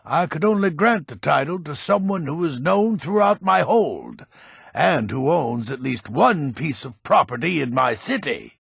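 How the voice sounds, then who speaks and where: Game role: haughty old mage-lord, grumpy and curt, heavily gravelled and husky, with wide swings in pitch. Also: male voice, fairly bright tone, dry recording, clean studio sound, exaggerated voice. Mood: stately, formal. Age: older. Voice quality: gravelly, raspy. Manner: gruff, curt, impatient. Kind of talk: video game character dialogue.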